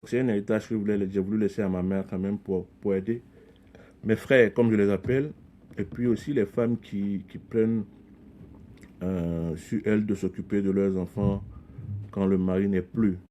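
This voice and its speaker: Podcast guest: middle-aged man from Ivory Coast, low and mellow, earnest and reflective, with frequent restarts. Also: fairly even intonation, moderate pace, a little hiss or hum. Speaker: podcast guest, community leader. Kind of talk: conversational interview.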